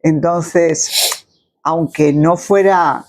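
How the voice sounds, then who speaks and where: Higher-voiced man interviewing. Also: fairly even intonation, very slow. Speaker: interviewer, host. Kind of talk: conversational interview.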